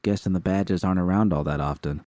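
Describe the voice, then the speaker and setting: A man reading a fan story voices a sly, teasing young woman mercenary, raising his pitch for her. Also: fast talker, a little mellow, no echo, studio quality, lightly acted.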